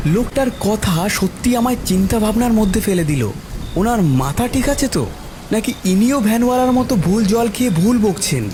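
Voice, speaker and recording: A man's voice: high-pitched, lively intonation, moderate pace. Male horror story narrator over noisy ambience. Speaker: first-person story narrator.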